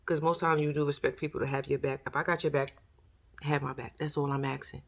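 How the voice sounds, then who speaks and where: A woman with a raspy voice and a New York accent, chatting casually on her vlog in a slangy, animated way. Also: sits low, quick pace. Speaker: YouTube commentary host.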